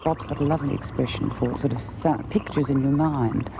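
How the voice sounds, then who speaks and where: A woman's voice: extremely low pitch, steady tone, fairly quick. A woman giving conversational, admiring comments in an interview. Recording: dull recording, noisy background.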